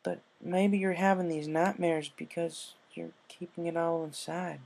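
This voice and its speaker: Storybook role: man as a firm, protective farm pony mare, folksy and plain-spoken, pitched up. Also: level tone, measured pace, gravelly, darkish tone. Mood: concerned. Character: protective, firm adult female farm pony, motherly friend.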